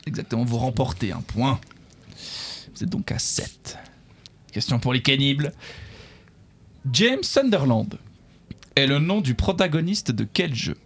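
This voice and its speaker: A man's voice: medium pitch, very animated, measured pace, pauses often, breathy edge. A man hosting a live quiz game, reading out questions and bantering playfully with the contestants. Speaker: quiz game show host.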